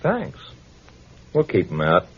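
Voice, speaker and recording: Slow, shrewd police lieutenant. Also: male voice, low-pitched, huge pitch swings, a bit bright, husky, exaggerated voice. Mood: measured, knowing. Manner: terse, deliberate. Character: shrewd, laconic, decent male police lieutenant. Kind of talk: 1940s radio drama acting. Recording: muffled old radio recording.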